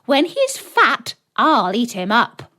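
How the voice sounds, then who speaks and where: A woman voicing a wicked, greedy, bossy old witch in a children's fairy tale, jeering and commanding with drawn-out words. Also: fairly high pitch, huge pitch swings, sharp tone, voice pushed up, heavily acted. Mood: harsh, impatient.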